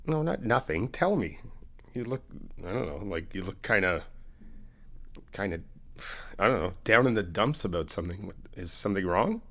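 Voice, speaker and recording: Lively low-voiced man in friendly roleplay. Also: moderate pace, long pauses. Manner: warm, reassuring, chatty with soft laughs. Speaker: ASMR roleplay performer as a supportive friend. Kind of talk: ASMR comfort roleplay, talking to the listener.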